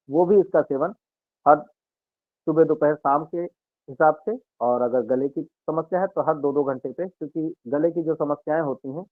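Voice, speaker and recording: A man, an Ayurveda health expert, calmly explaining home remedies and speaking directly to listeners on a radio health talk. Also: a little high, no room sound. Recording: narrow dry radio broadcast sound.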